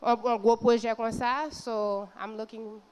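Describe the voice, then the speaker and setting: A woman's voice: a little high, level tone, measured pace, darkish tone, smooth, low-quality recording. A woman in her thirties from Port-au-Prince introducing herself on a panel earnestly, dropping in English phrases. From Port-au-Prince, Haiti. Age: 30s.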